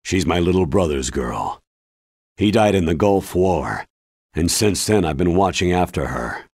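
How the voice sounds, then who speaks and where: Aging ex-army officer for a game: low, rough-edged American voice, solemn and sincere, dry studio sound. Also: male voice, expressive, darkish tone, no echo, exaggerated voice. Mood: grave, worried. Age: older. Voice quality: deep husky. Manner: grave, measured, earnest. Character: weary, earnest old retired male military commander. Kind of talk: video game cutscene acting.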